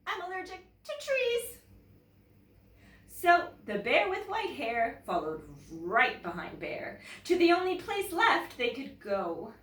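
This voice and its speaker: Animated Canadian woman storyteller in an echoey room. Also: on the high side, distant mic. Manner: expressive, deliberate storytelling. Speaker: teacher reading stories to children. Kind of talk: children's storybook read-aloud with casual introduction. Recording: roomy echo, away from the mic.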